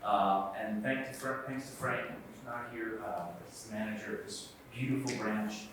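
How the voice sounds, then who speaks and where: A man who is an author and guest speaker, talking loudly and earnestly to a live audience with a lot of ums. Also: lowish voice, steady tone, moderate pace, a little hiss or hum. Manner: loud, earnest, rambling with fillers. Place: library event room. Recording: very echoey room, from far off.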